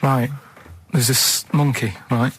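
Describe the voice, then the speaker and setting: A male radio co-host rambling casually through an anecdote, matter-of-fact and halting, often checking with a "right?". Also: medium pitch, fairly even intonation, a bit bright, husky. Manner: halting, matter-of-fact, vague storytelling full of tags like "right?". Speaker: radio show co-host telling odd stories.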